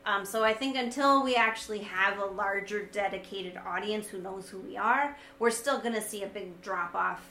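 A woman with a high, sweet, gentle-sounding voice, talking casually and reflectively as a co-host, with a wry, self-deprecating manner. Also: moderate pace, hardly any pauses. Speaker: vlogger and co-host discussing their video series. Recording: echoey room, light background noise.

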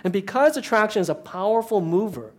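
A man's voice: sits high, lively intonation, a little fast. Chatty, self-deprecating male minister. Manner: chatty and self-deprecating, with frequent restarts and asides. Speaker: minister speaking to an audience. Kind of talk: conversational talk with humor.

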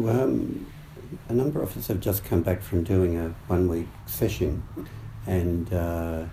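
A man with a low, very dark and mellow voice, croaky tonight, gives a meditation talk. He speaks slowly and thoughtfully, pausing often and restarting his sentences. The recording sounds roomy, with a faint hiss behind him. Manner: halting, thoughtful, many fillers and restarts. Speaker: meditation teacher. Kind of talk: dharma talk. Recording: roomy echo, faint background noise.

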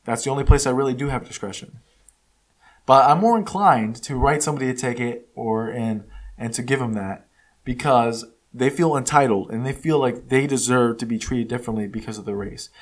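A man's voice: mid-range voice, a little fast. Blunt man with frequent restarts. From United States. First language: Spanish. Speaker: podcast host. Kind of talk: casual opinion monologue. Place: California.

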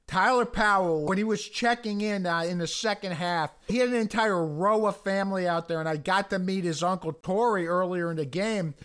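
A hoarse American man co-hosting a casual sports podcast, speaking earnestly and often restarting his sentences. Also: sits high, moderate pace, few pauses, fairly bright tone. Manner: earnest, many restarts and you-knows. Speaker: podcast co-host.

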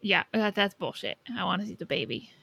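A woman co-hosting a casual podcast, low-key and informal, sounding a little sleepy and under the weather. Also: animated, fairly quick, creaky, pushed and bright, breathy edge. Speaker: podcast co-host.

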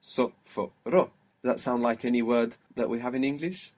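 A man teaching a one-on-one language lesson, patiently explaining and spelling out sounds slowly. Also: medium pitch, a little mellow. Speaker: Arabic teacher.

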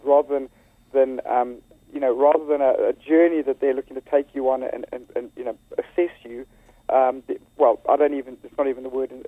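A man's voice: medium pitch, dry recording. A man with a South African accent, a school leader talking earnestly in a radio interview, often restarting and repeating words. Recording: tinny phone line.

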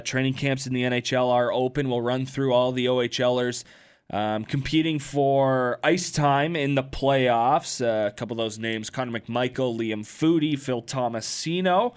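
A man's voice: mid-range voice, smooth. Laid-back young man. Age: 20s. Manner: relaxed, many fillers, laughs easily. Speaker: podcast co-host. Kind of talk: casual sports podcast chat.

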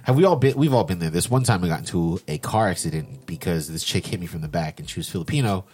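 A husky-voiced man co-hosting a casual podcast. He jokes bluntly and swings his pitch widely, talking quickly as he fires off questions. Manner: animated, joking, blunt. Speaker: podcast co-host.

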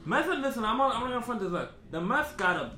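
A man's voice: extremely high pitch, big pitch swings, quick pace, pushed and bright, slight background noise. A man co-hosting a casual sports talk podcast, emphatic and profane, repeating himself as he cuts in to make his point. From born in the Dominican Republic, raised in Boston. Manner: emphatic, repetitive, profane, interjecting. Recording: roomy, echoey room.

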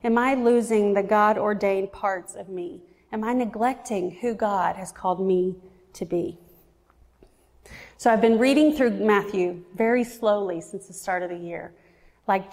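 Woman preacher in her forties, earnest and reflective, slightly gravelly, long church-hall reverb. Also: medium pitch, a little mellow. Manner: earnest, reflective, slows down for emphasis. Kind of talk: sermon.

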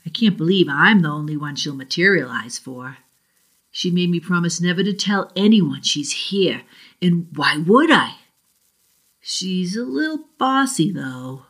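A woman from Boston's South Shore with a Boston accent, reading her own first-person short story aloud in a measured, deliberate way. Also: lowish voice, lively intonation, fairly bright tone.

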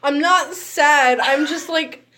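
A woman's voice: high voice, takes it slowly, sharp tone. A woman guest on a podcast, chatting casually about games in a dryly joking, emphatic way.

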